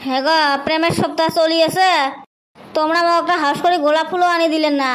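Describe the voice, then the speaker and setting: A man voicing a nagging, reproachful middle-aged village wife in a cartoon comedy, with a pitch-raised voice and a northern Bengal and western Assam accent. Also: fairly even intonation, fast talker, a bit bright. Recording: clear background noise.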